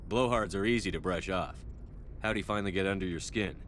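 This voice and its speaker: An actor playing a steady, reasoning military commander in a video game, speaking in a measured, matter-of-fact way. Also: male voice. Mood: probing. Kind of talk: video game dialogue acting. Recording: faint rumbling background noise.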